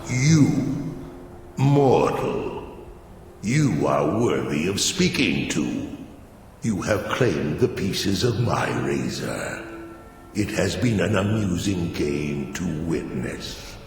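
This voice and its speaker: A man's voice: low-pitched, sing-song, very slow, vocal fry, faint music behind, heavily acted. A man voicing an imperious demon lord of destruction in a fantasy video game, making grand, domineering, menacing pronouncements. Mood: haughty, commanding. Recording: cavernous reverb, faint background noise.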